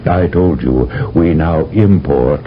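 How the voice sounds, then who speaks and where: An actor playing a grave, wry military general who gives measured orders in a 1970s radio drama. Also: male voice, a bass voice. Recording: dull old radio recording with faint noise.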